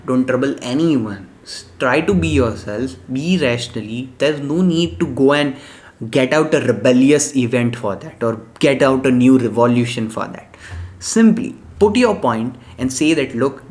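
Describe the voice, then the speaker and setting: Earnest young man giving life advice. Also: medium pitch. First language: Gujarati. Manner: earnest, preachy, repeats short punchy words. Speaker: self-help vlogger. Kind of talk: motivational monologue to camera.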